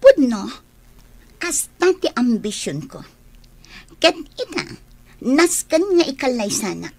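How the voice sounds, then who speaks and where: A woman acting an ambitious, scheming, insistent young woman in Ilocano radio drama, with an Ilocano accent and a drawn-out emotional delivery. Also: high voice, sing-song, darkish tone, full character voice. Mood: insistent, threatening.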